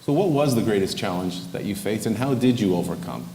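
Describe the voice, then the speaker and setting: A man moderating a live panel, putting questions to the panelists in a brisk, friendly way. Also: on the low side, expressive, warm tone. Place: large hall, live panel. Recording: echoey hall, faint room noise.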